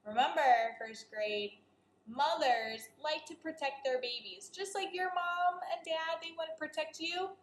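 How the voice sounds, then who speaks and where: A woman's voice: high-pitched, measured pace, echoey room, a little hiss or hum. A woman teacher reading a children's story aloud, expressively and speaking directly to her young listeners.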